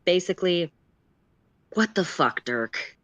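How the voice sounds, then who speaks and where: A woman's voice: on the low side, expressive, slow pace, fairly bright tone, no echo, lo-fi sound. A woman playing an exasperated, sweary sylph philosopher who lectures and argues sarcastically in an amateur story reading. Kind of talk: amateur audio fiction read aloud live.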